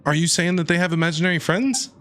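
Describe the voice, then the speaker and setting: Bright, excitable gaming commentator man. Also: high-pitched, quick pace. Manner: excitable, sarcastic. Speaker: gaming streamer. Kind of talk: let's-play commentary. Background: game music.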